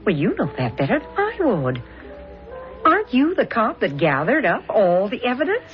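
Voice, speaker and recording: A woman's voice: fairly high pitch, wildly swooping pitch, moderate pace, faint music behind. An actress playing a shrewd, long-suffering policeman's wife, knowing and drily teasing, in 1970s radio drama style. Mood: curious, observant. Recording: dull lo-fi radio drama recording.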